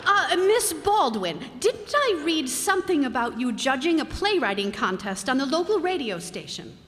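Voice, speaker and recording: Lady doing fluttery worried hostess, old radio sitcom, super high voice, echoey hall. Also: very animated, normal speed, a bit bright. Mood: anxious. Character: anxious society hostess smoothing things over. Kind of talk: old-time radio comedy acting.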